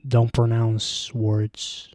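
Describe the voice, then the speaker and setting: Young Bulgarian-accented guy streaming from home, unhurried, dry close handheld mic, ums and restarts. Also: mid-range voice, completely flat delivery, darkish tone, no room sound. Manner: rambling, hesitant, many fillers and restarts. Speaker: live streamer. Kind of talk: casual live stream chat. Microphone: handheld microphone close to mouth.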